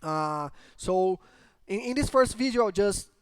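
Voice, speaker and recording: A man's voice: high-pitched, expressive, unhurried, clean tone, no echo. A man from Brazil speaking English with a Brazilian Portuguese accent, explaining tech topics in a hesitant, filler-heavy casual talk. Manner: hesitant, many fillers and restarts. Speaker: tech channel host.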